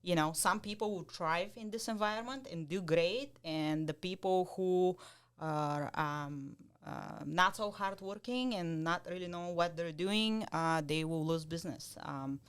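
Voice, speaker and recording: A woman's voice: fairly low pitch, measured pace, clear, resonant tone. A woman in her thirties from Bulgaria with a Bulgarian accent, a loan officer speaking bluntly and directly as a guest on a podcast. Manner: blunt, direct, laughs easily. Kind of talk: casual podcast interview.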